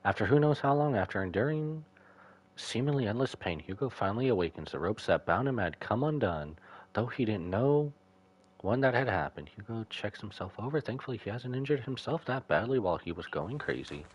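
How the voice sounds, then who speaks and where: A man streaming a game, reacting and reading the story text aloud in an expressive way. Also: on the low side, a little fast. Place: indoors, live stream with game music behind.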